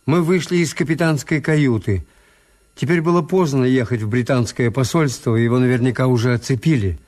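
An actor playing a wry, cautious hotel owner who narrates a Soviet radio drama in a measured literary way. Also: male voice, mid-range voice, a little mellow, natural voice. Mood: wry narration. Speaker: narrator and lead character.

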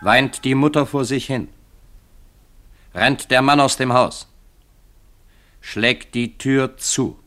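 A stark radio drama narrator. He delivers terse phrases slowly at a medium pitch, with faint noise and clicks behind. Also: fairly even intonation, fairly bright tone. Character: terse narrator of a tragic scene.

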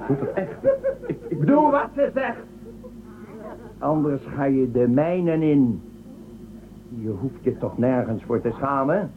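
An actor playing a haughty, mocking emperor of an underground space empire in a Dutch radio drama, commanding and pompous. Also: male voice, mid-range voice, big pitch swings, unhurried, pauses often. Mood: threatening. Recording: tinny phone-like band, loud background noise.

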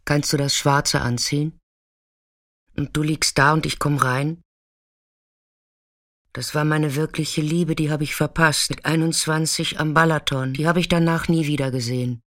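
A man acting in a radio play as a weathered, fearless narrator, speaking in an understated, intimate way with hushed moments. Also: a little high, flat delivery, moderate pace, dry recording, clean studio sound, natural voice. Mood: matter-of-fact, confiding.

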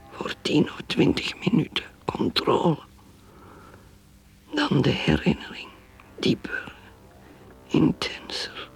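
Whispering, despairing alcoholic wife. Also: female voice, on the high side, heavily acted, poor audio. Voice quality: breathy, whispered, creaky. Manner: halting, broken phrases, intense. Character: despairing alcoholic wife brooding in secret inner thoughts. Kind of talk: radio play inner monologue.

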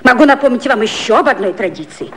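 Актриса играет дерзкая секретарша с высоким живым голосом. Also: female voice, on the high side, expressive, natural voice. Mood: scornful. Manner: mocking, brisk, theatrical. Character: impudent, sharp-tongued secretary to the prime minister. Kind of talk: 1950s satirical radio play acting.

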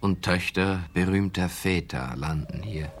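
A man's voice: sits low, measured pace, faint music behind. An actor playing a delusional, self-absorbed young male psychiatric patient who makes insistent declarations in a radio play. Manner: repeats insistent claims in short declarations.

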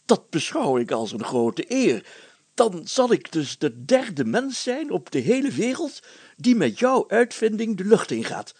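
A man's voice: sits high, very animated, unhurried, a little mellow, husky, no room sound, pitched above the performer's own voice, exaggerated voice. A man voicing a pompous, jovial village mayor who speaks emphatically and hems solemnly in a storybook reading. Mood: elated.

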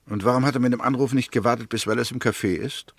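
A man's voice: medium pitch, fairly even intonation, fast, vocal fry, no room sound. A man acting a stern, sceptical prosecuting lawyer in a 1960s German radio drama, formal and challenging. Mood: sceptical, narrating. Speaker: actor in a radio play.

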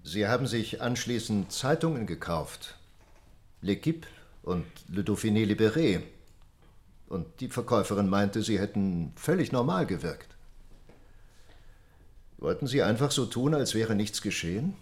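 Stern interrogator, lowish voice, very animated intonation, insistent, courtroom radio drama. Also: male voice, a little slow, long pauses. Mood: pressing, incredulous. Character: pressing male court examiner, stern and incredulous.

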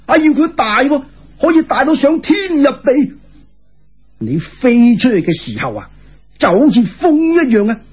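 A man voicing a wise, composed Taoist immortal in a Cantonese folk tale, with a measured, explanatory manner, on a lo-fi recording. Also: high voice, breathy edge, exaggerated voice.

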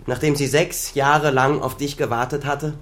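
A man's voice: mid-range voice, steady tone, moderate pace, a bit bright, natural voice. A man acting in a naturalistic radio drama as a bitter adult son who cuts at his estranged father with reproach. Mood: pressing, accusing. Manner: accusing, reproachful, cutting.

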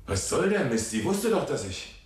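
A man's voice: mid-range voice, very animated, normal speed, slightly rough. An actor in a radio drama playing a cynical, world-weary male agent who mutters in clipped, sardonic phrases. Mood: uneasy, muttering.

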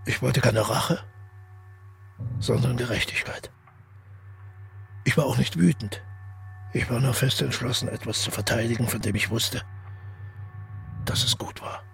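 An actor playing a brooding, isolated man haunted by dreams and guilt, in a measured, introspective radio drama monologue with a gravelly, husky voice. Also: medium pitch, fairly bright tone, full character voice. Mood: grimly resolute.

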